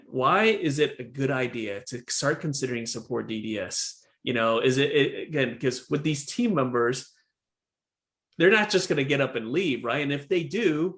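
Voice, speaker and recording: Male host, mid pitch, lively casual chat, repeats himself, video call sound. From Texas. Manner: animated, repeats "again" and "right?". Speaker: podcast host, dentist.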